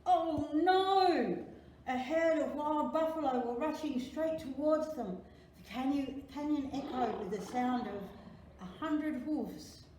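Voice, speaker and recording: A woman reading a children's picture book aloud in an expressive, dramatic storytelling manner, drawing out key words. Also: sits high, takes it slowly. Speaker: library storytime reader. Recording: very echoey room, faint noise.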